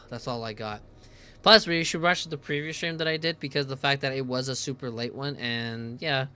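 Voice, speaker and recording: A man streaming a game from home on a headset, chatting casually and reading in-game text aloud, tripping over hard names. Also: medium pitch. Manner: reads lore in a slower, calmer voice.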